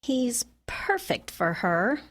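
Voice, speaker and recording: A woman's voice: fairly high pitch, sing-song, a little slow. An actress playing a skeptical, earnest, easily exasperated small-town mother in a radio comedy mystery. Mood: doubtful.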